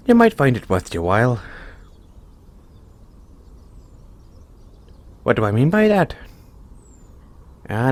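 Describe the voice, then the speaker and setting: A man's voice: medium pitch, darkish tone. A man voicing a kindly, playful leprechaun in an audio roleplay, folksy and reassuring. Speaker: roleplay performer. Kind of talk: audio roleplay, speaking softly to one listener.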